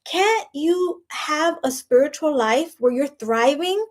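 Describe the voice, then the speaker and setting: A woman's voice: high voice, expressive, takes it slowly. A woman with a Spanish accent giving a casual motivational monologue as a life coach, chatty and emphatic, full of "like" and "right?". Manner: emphatic and preachy.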